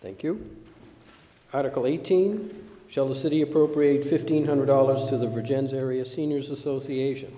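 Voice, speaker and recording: A man's voice: mid-range voice, normal speed, warm tone. A man moderating a town meeting, formally reading out an agenda item and asking for speakers. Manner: formal, procedural. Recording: roomy distant sound, long hall reverb.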